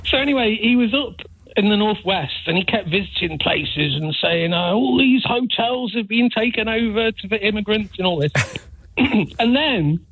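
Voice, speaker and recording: A man calling in to a radio phone-in, telling a gossipy, animated story and giggling as he goes. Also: sits high, normal speed, slightly rough. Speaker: caller. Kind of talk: chatty phone-in call. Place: Taunton. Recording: phone line.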